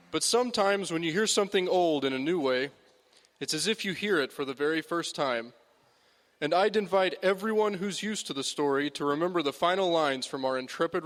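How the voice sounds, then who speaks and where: A male host giving measured, reflective closing remarks and reading the credits. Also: a little high, a bit bright.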